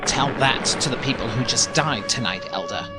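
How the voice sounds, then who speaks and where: An actor playing a guilt-ridden elder in an audio drama, earnest and dramatic in delivery. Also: male voice, mid-range voice, lively intonation, moderate pace, lightly acted. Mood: guilty, anguished. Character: anguished, guilt-ridden alien elder man. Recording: loud music under the voice.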